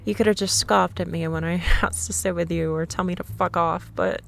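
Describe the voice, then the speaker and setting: A young woman in a scripted ASMR roleplay, playing a shy, nervous stranger who rambles and laughs nervously in a soft voice. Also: on the low side, steady tone, brisk, gravelly, a little hiss or hum.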